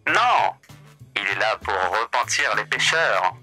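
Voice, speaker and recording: A man doing a grandiloquent demon hotel host who speaks with mocking courtesy. His voice is very bright and crisp. It swoops up and down through every phrase, with faint music behind. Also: on the low side, very animated, slow pace, full character voice. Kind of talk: voice roleplay.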